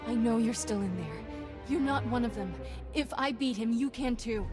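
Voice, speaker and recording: An actress playing a defiant, plucky young Jedi companion in a video game, brisk and no-nonsense. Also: female voice, on the high side, music in the background, lightly acted. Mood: defiant, pleading. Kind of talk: video game cutscene acting.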